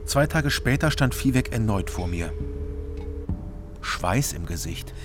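An actor playing a weary, laconic East German private detective, narrating and talking in a radio crime drama. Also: male voice, lowish voice, animated, slow pace, over music. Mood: terse, observant. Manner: terse, laconic.

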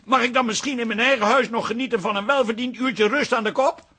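An actor playing a fussy, put-upon husband with a Dutch accent, indignant and sarcastic, in a radio comedy drama. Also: male voice, very high-pitched, fairly even intonation, fast talker, bright, forceful tone, breathy edge, exaggerated voice. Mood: exasperated.

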